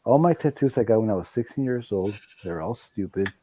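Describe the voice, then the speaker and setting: A man in his thirties on a casual comics video call, excitable and slangy, calling everyone bro. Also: fairly low pitch, fairly quick, breathy edge. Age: 30s. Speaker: comic channel host in group chat.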